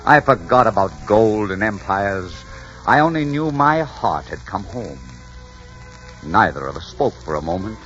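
An actor playing a commanding, ardent Spanish conquistador who also narrates, in a dramatic 1940s radio adventure style. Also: male voice, on the low side, big pitch swings, a little slow, a bit bright. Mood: wistful narration. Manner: dramatic, authoritative. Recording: dull 1940s radio recording, music behind.

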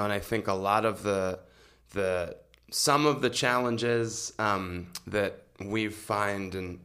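Male interview guest, slightly low voice, reflective conversational delivery with hesitant phrasing. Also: a bit bright. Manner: thoughtful, halting, hedging. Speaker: arts organization administrator, interview guest.

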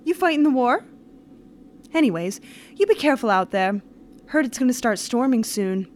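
A woman's voice: a little high, big pitch swings, moderate pace, slight background noise. A woman playing a friendly, folksy 1930s lodge hostess in a radio drama, with chatty, slangy talk.

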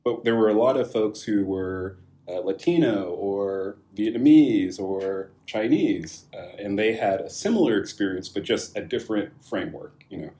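Low-voiced man, relaxed and thoughtful. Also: normal speed. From California, USA. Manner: thoughtful, many fillers and restarts, laughs lightly. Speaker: guest, wine company founder. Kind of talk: casual interview answers.

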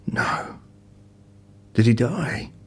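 An actor playing an attentive, uneasy listener in an audio drama, speaking in short reactions and questions with a husky voice. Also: male voice, extremely slow. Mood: startled, uneasy.

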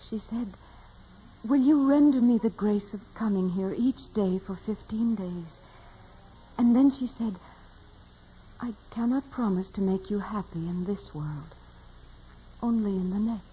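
An actress playing an earnest, devout teenage peasant girl in a reverent, gently insistent manner, in 1940s radio drama style. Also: mid-range voice, unhurried, long pauses, slight background noise, lightly acted. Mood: calm, gentle. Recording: muffled old radio recording, music behind.

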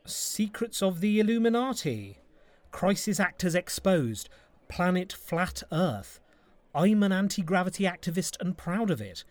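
A man's voice: sits high, very animated, unhurried, no echo. An actor playing a mild, dry, matter-of-fact human resources man in a comedy audio drama.

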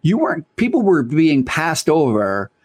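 A man's voice: fairly high pitch, a little slow. Emphatic male podcast host. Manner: energetic, emphatic, repeats phrases. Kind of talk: conversational interview.

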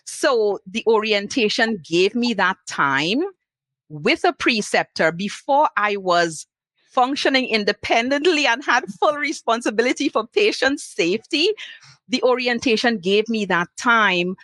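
Trinidadian woman nurse, higher voice. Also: lively intonation, a little slow, a bit bright, dry recording. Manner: earnest, emphatic, lists items. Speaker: international nurse guest. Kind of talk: panel talk.

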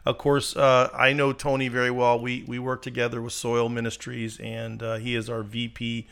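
A man's voice: mid-range voice, completely flat delivery, normal speed, creaky, fairly bright tone, clean tone. A man co-hosting a casual ministry podcast, joking and chatty as he introduces a guest. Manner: joking, chatty, laughs often. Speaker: podcast co-host. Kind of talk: casual chat.

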